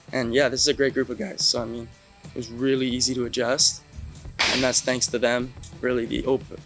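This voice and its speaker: A man's voice: medium pitch, fairly bright tone. A young man from Ontario, answering interview questions in an easygoing way and often ending points with "right?". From Canada, Ontario. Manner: easygoing, tags many lines with "right?". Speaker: interview guest, baseball catcher.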